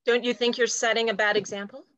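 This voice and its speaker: A woman's voice: a little high, flat delivery, fast talker, a bit bright, dry recording, close to an everyday voice. A woman playing a prim, territorial junior executive assistant in a stage play reading, with a precise, officious, matter-of-fact delivery. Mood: prim, stern. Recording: dry video call.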